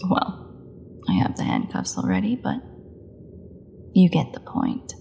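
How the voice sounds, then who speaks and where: A woman playing a defiant captive vampire in an audio drama, snarky, mocking and bitterly flippant, with a gravelly voice. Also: rumbling low, huge pitch swings, a little slow, warm tone, a little hiss or hum, exaggerated voice. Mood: bitter sarcasm. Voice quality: gravelly, creaky voice. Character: defiant, sarcastic captive female vampire under interrogation. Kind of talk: dramatic audio roleplay monologue.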